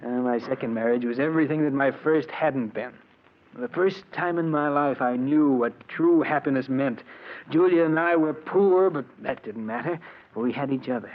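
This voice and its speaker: A man playing a soft-spoken, self-justifying murderer in 1940s radio drama, speaking in a measured, polite, earnest way. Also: medium pitch, lightly acted. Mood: reflective, confessional. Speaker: actor playing narrator and lead character. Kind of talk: 1940s radio drama acting, confessional narration. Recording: dull, noisy old radio recording.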